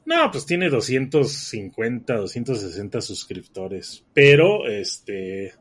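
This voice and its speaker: Husky northern Mexican man, rambling chat. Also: mid-range voice, very animated, takes it slowly. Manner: rambling, many fillers and restarts. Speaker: podcast co-host. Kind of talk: casual chat.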